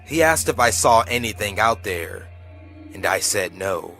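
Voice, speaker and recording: Bright-voiced man narrating a creepy story. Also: on the low side, lively intonation, unhurried. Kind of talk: scripted first-person horror story narration. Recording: music behind the voice. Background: background music.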